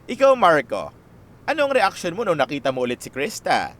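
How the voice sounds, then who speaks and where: A man's voice: a little high, huge pitch swings, normal speed, a bit bright. A man acting as a showy, inquisitive TV talk show host in a Filipino radio drama. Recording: music and crowd behind the voice.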